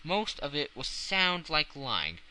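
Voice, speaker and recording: A man voicing a weary, starving Victorian gentleman time traveler in an audiobook, emphatic and earnest. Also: fairly high pitch, big pitch swings, slow, heavily acted, rough recording. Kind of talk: audiobook character voice.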